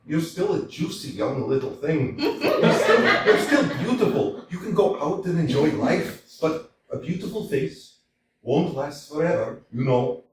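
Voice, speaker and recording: A man's voice: a little high, big pitch swings, a little slow, recorded from a distance, background noise. An actor playing a blunt, teasing older male servant in a staged reading, chatty and down-to-earth. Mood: coaxing. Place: small community theater stage. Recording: echoey stage picked up from afar.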